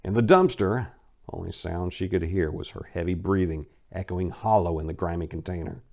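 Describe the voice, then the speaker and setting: A man with a fairly low voice narrates crime fiction as a dramatic reading. His storytelling is intense and expressive, following a written script. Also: brisk, gravelly.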